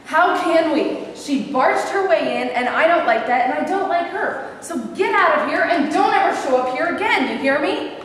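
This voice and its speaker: Actress as scornful protective mother, high voice, stage drama, cavernous echo. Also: expressive, normal speed, fairly bright tone. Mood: scornful, angry. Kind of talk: staged play reading. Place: live staged reading in a hall. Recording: echoey hall, distant mic, faint noise.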